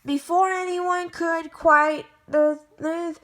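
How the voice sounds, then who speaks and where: Slow, bright-voiced woman reading a storybook. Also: very high voice, fairly even intonation. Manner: careful, halting reading with frequent self-corrections. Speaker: storybook reader. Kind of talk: reading a picture book aloud.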